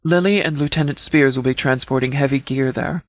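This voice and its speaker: A man playing a no-nonsense sheriff's department commander in a video game, speaking formally and precisely in measured, scripted briefing style. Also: medium pitch, no echo, close to an everyday voice. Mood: composed, authoritative.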